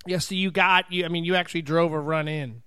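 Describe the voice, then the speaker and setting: A man from Maryland co-hosting a casual podcast chat, reacting quickly and with energy. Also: fairly high pitch, steady tone, sharp tone, clear, resonant tone, no room sound, studio quality. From Maryland, USA. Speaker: podcast co-host.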